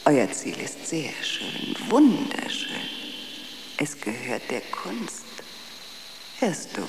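A woman's voice: rumbling low, sing-song, slow pace, noisy background, exaggerated voice. A woman playing a seductive, insistent phantom voice in a radio drama, drawn out and incantatory, often whispering. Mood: soothing, commanding.

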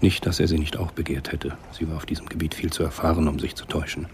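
A man's voice: extremely low pitch, level tone, fast talker, darkish tone, slight background noise. A man reading scripted literary narration as the narrator of a radio drama.

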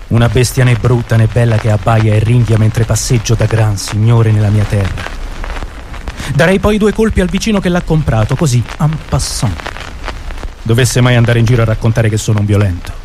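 A man's voice: mid-range voice, moderate pace, noisy background. A man performing a scripted, dramatic literary monologue for radio. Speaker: radio show author and performer. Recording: music bed behind the voice. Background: music.

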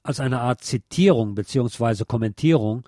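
A man's voice: mid-range voice, normal speed, dark tone, no room sound. Man reading a journalistic essay, dry studio sound. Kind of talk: scripted narration of a journalistic essay.

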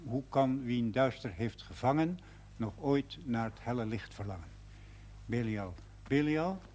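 An actor playing a pompous, pedantic amateur poet with a declamatory manner in a 1970s TV drama. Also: male voice, mid-range voice, lively intonation, a little slow, darkish tone. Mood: declaiming. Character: pompous amateur poet rehearsing florid verse. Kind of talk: 1970s television drama acting.

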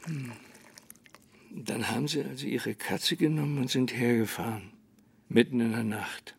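An actor playing a calm, resigned small-town sheriff in a radio drama, speaking in a dry, measured manner. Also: male voice, mid-range voice, vocal fry, husky, no echo. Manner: dry, measured, hesitant hums.

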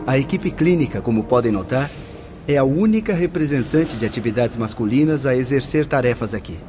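A man's voice: medium pitch, expressive, fairly quick, music in the background, close to an everyday voice. An actor playing a polite, instructive male spirit guide in a radio play. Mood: explaining.

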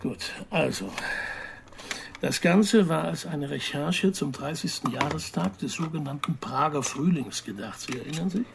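A male investigative journalist with a slightly high, creaky voice. He is evasive and careful, explaining things precisely but haltingly, in a naturalistic radio crime drama with faint noise behind him. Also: animated. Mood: explanatory, composed.

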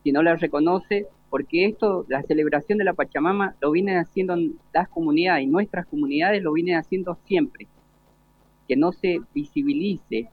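A man's voice: on the high side, slightly rough, dry recording. A man explaining things on a panel as a municipal official. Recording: thin phone-like radio broadcast.

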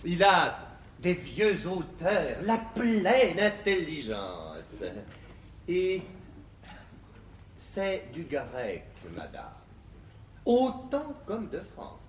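An actor playing a vain, flattering salon poet in a classical French verse stage comedy, with a florid, obsequious manner. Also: male voice, high-pitched, extremely slow, long pauses, heavily acted. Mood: showing off. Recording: echoey 1950s theatre recording, faint noise.

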